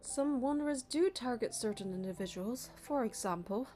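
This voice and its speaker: A woman's voice: fairly high pitch, animated, moderate pace, dark tone. A young woman narrating a game playthrough, reading the story and the player character's part in a casual way. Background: music.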